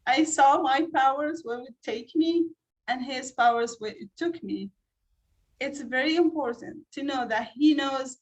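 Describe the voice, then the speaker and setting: High-voiced Iraqi woman telling personal stories. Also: poor audio. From Iraq. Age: 30s. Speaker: interview guest. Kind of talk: personal storytelling in conversation.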